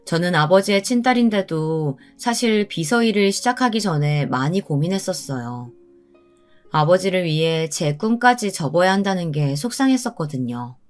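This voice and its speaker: Woman, lowish voice, scripted story narration, first person, faint music behind. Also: animated, moderate pace.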